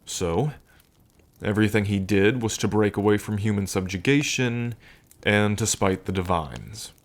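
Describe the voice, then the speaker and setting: Low-voiced, intimate dragon king played by a man. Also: lightly acted. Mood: solemn, explaining. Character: affectionate dragon king, wry, regal, weary of council politics. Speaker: roleplay character performer. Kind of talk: ASMR roleplay, intimate in-character monologue.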